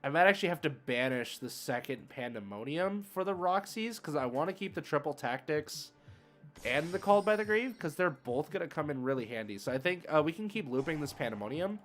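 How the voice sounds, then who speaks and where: A man's voice: medium pitch, animated, fairly quick, fairly bright tone, faint music behind. A man giving casual live commentary as a game streamer, thinking aloud in informal gamer slang. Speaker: streamer hosting card game matches.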